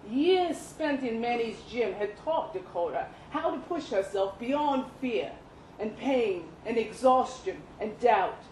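High-voiced woman reading a story aloud. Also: lively intonation, takes it slowly, a little mellow. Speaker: story reader at a live literary event. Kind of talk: dramatic literary short story reading. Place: indoor live reading event at a pub venue. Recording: roomy live venue, some background noise.

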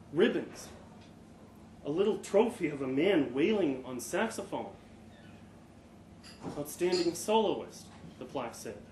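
A man reading a short story aloud at a live event, in an expressive, dramatic storytelling style. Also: on the high side. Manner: expressive, acts out dialogue. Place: live literary event in an indoor venue. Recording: echoey room, live event, background noise.

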